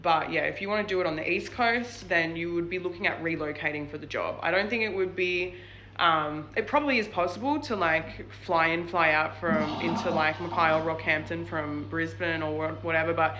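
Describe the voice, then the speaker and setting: Young Australian woman vlogger, bright forceful tone, rambling chatty delivery. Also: low voice, moderate pace, slight background noise, rough recording. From New South Wales, Australia. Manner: chatty, lots of "like" and "um" fillers. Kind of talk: casual advice vlog.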